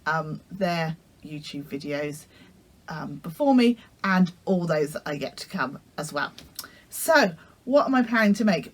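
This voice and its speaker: A woman with a croaky voice from a cold, chatting casually to camera as a sewing vlogger, friendly and full of ums. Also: fairly low pitch.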